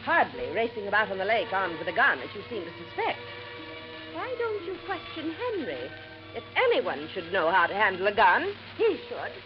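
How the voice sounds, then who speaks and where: A woman's voice: mid-range voice, big pitch swings, normal speed, lightly acted. A woman playing a prickly, haughty academic niece in a 1950s radio drama, prim and indignant. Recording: muffled old radio recording, music underneath.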